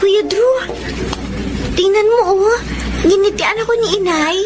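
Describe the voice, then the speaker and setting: A woman's voice: extremely high pitch, a little slow, music in the background. A woman playing a grieving, stubborn little girl with a Filipino accent in a radio drama, pleading and childlike. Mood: insistent, pleading. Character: grieving, stubborn little girl who misses her mother.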